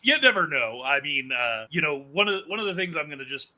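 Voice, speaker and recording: A man hosting a casual interview show in a chatty, animated manner. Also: fairly high pitch, fast, poor audio. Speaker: podcast host and executive producer.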